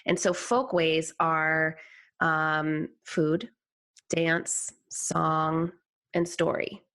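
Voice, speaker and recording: A woman speaking as a podcast guest in a reflective conversation, thoughtful and halting as she searches for words. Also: low-pitched, flat delivery, a bit bright, smooth.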